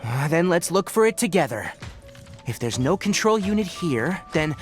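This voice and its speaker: Casting: a studious, serious young ranger for a game. Explains precisely, with animated pitch movement; music behind. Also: male voice, normal speed. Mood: focused, calm. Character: young male forest ranger scholar, serious and analytical. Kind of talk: English video game voice acting. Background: video game music.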